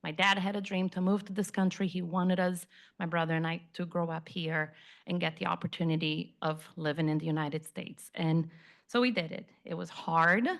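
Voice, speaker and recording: Chatty middle-aged Brazilian woman, slightly low voice. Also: steady tone, moderate pace. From Rio de Janeiro, Brazil. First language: Portuguese. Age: 50s. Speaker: guest speaker, community relations executive. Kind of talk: informal self-introduction talk to an audience.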